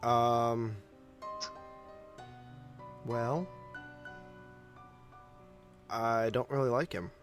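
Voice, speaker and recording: A man co-hosting a movie podcast, talking casually in a halting, noncommittal way. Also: mid-range voice, fairly even intonation, soft background music.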